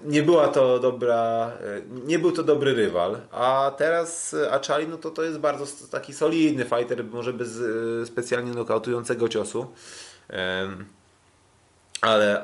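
A Polish man co-hosting a sports podcast, recapping fights casually in a hesitant way with drawn-out fillers and restarts. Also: medium pitch. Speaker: podcast co-host, kickboxing commentator.

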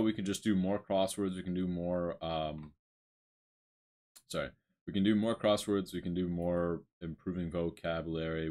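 A young man vlogging casually at a desk, with a deep voice and a rambling, hesitant way of talking full of fillers. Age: young adult. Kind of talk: casual commentary. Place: small room at a desk.

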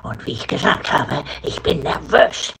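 A man playing a small, cheeky bird with a big ego in a comic audio drama, creaky, bickering and whiny. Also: a little high, big pitch swings, unhurried, sharp tone, exaggerated voice. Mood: nervous, whiny. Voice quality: creaky, gravelly.

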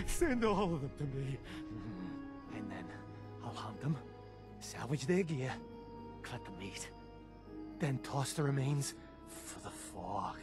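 A man playing an unhinged, menacing backwoods cannibal trapper in a video game, muttering gravelly, halting, clipped phrases. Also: mid-range voice, very animated, over music. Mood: gleefully predatory. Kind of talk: video game character acting.

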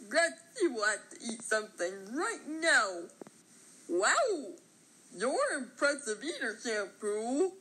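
A woman voicing an excitable, brave, childlike clump of grass in cartoon voice lines, exclaiming and talking in the third person. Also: high voice, a little hiss or hum, heavily acted, low-quality recording. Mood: alarmed.

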